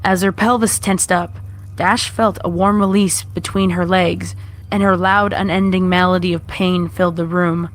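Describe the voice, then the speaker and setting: A woman's voice: lowish voice, little pitch movement, normal speed, low-quality recording. A woman reading out a horror story as scripted narration. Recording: music playing behind the voice.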